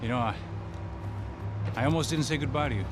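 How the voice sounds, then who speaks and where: An actor playing an anxious, defensive hustler in a video game, pleading and apologetic with halting speech. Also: male voice, medium pitch, natural voice. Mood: regretful. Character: anxious male hustler, apologetic, self-justifying, expectant father. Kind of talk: video game cutscene acting. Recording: loud music behind the voice.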